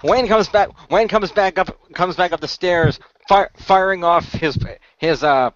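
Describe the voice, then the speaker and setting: A man's voice: high-pitched, measured pace, highs cut off. A man improvising in-character dialogue in a radio role play, explaining things in a matter-of-fact way.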